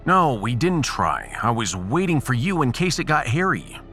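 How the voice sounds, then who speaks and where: Man playing a wry, excitable starship captain. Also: mid-range voice, moderate pace, faint music behind. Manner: sarcastic, excitable. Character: wry, cowardly but bantering male starship captain. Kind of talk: sci-fi audiodrama acting.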